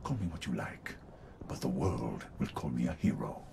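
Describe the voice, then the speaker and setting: A ruthless middle-aged commissioner in a sci-fi audio drama, with a deep voice that swings widely between silky gloating and menace. His lines are buried in loud background noise. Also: very animated, moderate pace, creaky, heavily acted. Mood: commanding, grandiose. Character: smug, murderous, ruthless middle-aged male police commissioner. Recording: video game audio, loud noisy background.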